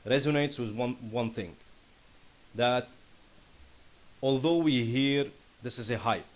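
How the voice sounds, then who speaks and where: A man from Syria with a Lebanese accent giving an earnest, impassioned startup pitch and answering questions. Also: slow pace. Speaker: startup founder presenting. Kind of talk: conference pitch and Q&A.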